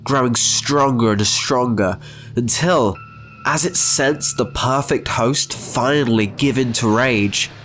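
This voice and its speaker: A man with a mid-pitched voice narrates a scripted story in a dramatic storytelling style. Faint music runs behind his narration. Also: slow, a bit bright.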